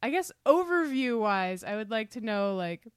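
A woman's voice: on the high side, very animated, moderate pace, clear, resonant tone, no room sound, clean studio sound. A woman hosting a casual podcast interview in a playful, chatty way. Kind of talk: casual, joking interview chat.

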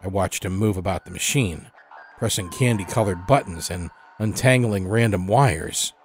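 A man's voice: deep voice, normal speed. A man reading scripted first-person horror fiction as a storyteller, with a dramatic, brooding manner.

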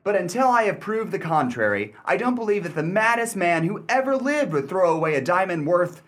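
A man playing a shrewd, suspicious gentleman collector in a radio play, theatrical and wordy, with mock-courteous condescension. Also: high voice, big pitch swings, a little fast. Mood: skeptical, musing. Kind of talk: old-fashioned radio play acting.

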